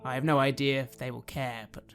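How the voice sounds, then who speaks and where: A man's voice: mid-range voice, flat delivery, fairly quick, soft background music. A man narrating his own character's actions as a player in a tabletop roleplaying game.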